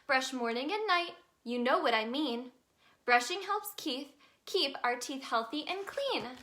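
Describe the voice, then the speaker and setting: A woman's voice: high-pitched, animated, unhurried, bright, forceful tone, heavily acted. A woman voicing a curious, eager little boy tiger from a children's storybook in a childlike, wondering way. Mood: singing, eager.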